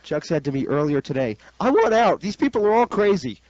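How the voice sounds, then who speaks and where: A man's voice: on the high side, big pitch swings, fairly quick, darkish tone, no room sound. A man co-hosting a radio show in casual, sardonic chat on lo-fi audio. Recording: very dull, dry lo-fi audio.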